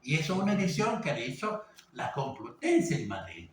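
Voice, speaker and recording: A man from Venezuela gives an informal class in a rambling, halting way, full of fillers and tag questions. His voice is slightly high for a man. It is recorded from a distance in a very echoey room with faint background noise. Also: wildly swooping pitch. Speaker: teacher. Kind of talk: informal lecture.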